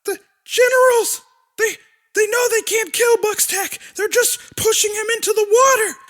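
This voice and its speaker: A man's voice: very high voice, steady tone, moderate pace, fairly bright tone, voice pushed up. A man voicing an anxious young girl monster in an audiobook, stammering urgently. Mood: panicked. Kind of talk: audiobook character acting.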